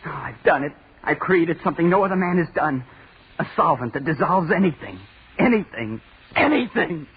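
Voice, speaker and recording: A man's voice: a little high, expressive, moderate pace, close to an everyday voice. Man playing a fevered young mad chemist, tinny old radio. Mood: exultant. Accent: American. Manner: fevered, repetitive muttering rising to cries. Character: obsessed, unhinged young male student chemist. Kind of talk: 1940s radio horror acting. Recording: noisy, tinny 1940s radio recording.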